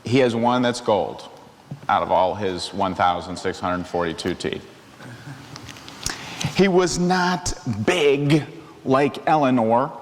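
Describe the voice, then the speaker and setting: A middle-aged man reads a picture book to children in a big, echoing hall. He takes his time and drops in playful, chatty asides. His voice sits at an ordinary male pitch, with faint noise behind it. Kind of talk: animated read-aloud story time with asides to children. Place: large church hall, seated among children. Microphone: lavalier clipped to shirt.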